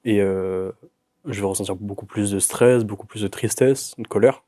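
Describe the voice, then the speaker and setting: A young man of nineteen, a guest on a youth panel, answering in a thoughtful, measured way. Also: lowish voice, steady tone, warm tone, no echo, clean studio sound. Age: young adult. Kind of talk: casual discussion.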